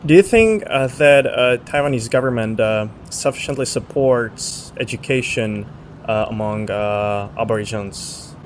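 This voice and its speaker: Hesitant, mid-pitched male interviewer. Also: a little slow, slight background noise. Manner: asks questions with many hesitations. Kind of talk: interview questions.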